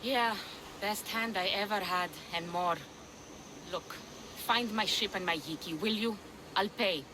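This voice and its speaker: A woman's voice: mid-range voice, unhurried, background noise, lightly acted. An actress playing a blunt, sardonic, foul-mouthed female pirate ship captain in a video game cutscene. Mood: roguish, candid.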